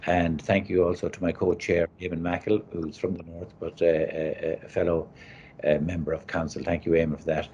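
A man chairing a formal webinar over a video call, speaking in a halting, hesitant way. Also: low-pitched, level tone, a little mellow. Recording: dull, noisy video-call audio.

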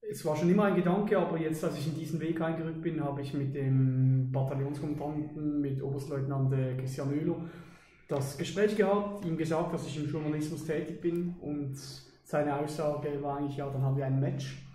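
A man's voice: on the high side, fairly even intonation, fairly quick, dark tone, roomy sound. A young man, answering podcast questions with many hesitations. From Basel, Switzerland. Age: 20s. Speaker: interview guest, army lieutenant. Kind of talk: casual podcast interview. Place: outdoors beside an army vehicle.

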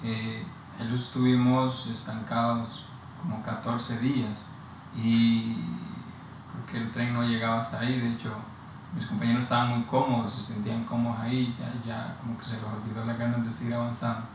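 A man in his thirties with a Honduran Spanish accent, talking haltingly and slowly, often repeating words before going on. He sits in a plain indoor room and is picked up from some distance, so his voice sounds strongly echoey. A steady hiss runs underneath. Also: mid-range voice. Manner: slow, halting, with frequent restarts and repeated words. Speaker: migrant giving testimony. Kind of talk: personal testimony. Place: plain indoor room against a bare wall.

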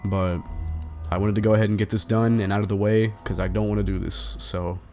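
A young man commentating his own horror game run, stuffy from a flu, swearing often and breaking into angry shouts. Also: fairly low pitch, level tone, brisk, faint music behind. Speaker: gaming channel host. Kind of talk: casual gaming commentary.

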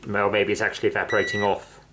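A man's voice: lowish voice, little pitch movement, rapid-fire. A middle-aged man chatting casually as a helper, in short questions and brief remarks. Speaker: helper and co-presenter. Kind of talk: casual chat. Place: indoor room with wooden floor. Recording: loud background noise, clicks and beeps.

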